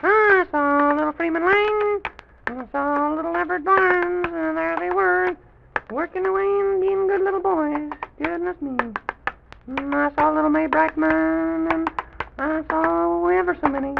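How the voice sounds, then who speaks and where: A small, eager childlike figure from an old-time children's radio show, very high and crackly in voice. He marvels and exclaims with wide-open curiosity. The recording is dull and vintage, with loud music behind. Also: steady tone, unhurried, vocal fry, full character voice. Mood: curious, delighted. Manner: exclaiming, wondering, repeating phrases. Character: President Pip, small excitable childlike character, curious and eager.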